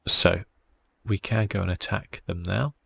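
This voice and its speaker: Very deep, husky ASMR gamer man. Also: steady tone, a little slow, a bit bright. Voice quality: husky, breathy, near-whispered at times. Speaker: gaming video host. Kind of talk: relaxed ASMR gameplay commentary.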